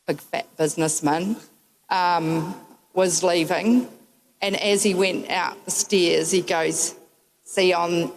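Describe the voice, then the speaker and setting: A woman's voice: deep voice, a little hiss or hum, rough recording. A woman with a New Zealand accent giving a memorial tribute, telling wry, humorous anecdotes with frequent ums. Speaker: eulogy speaker.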